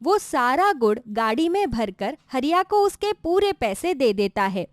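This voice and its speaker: High-pitched, lively woman storyteller. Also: measured pace, no echo, clean studio sound. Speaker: storyteller, narrator. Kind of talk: scripted narration of a children's moral story.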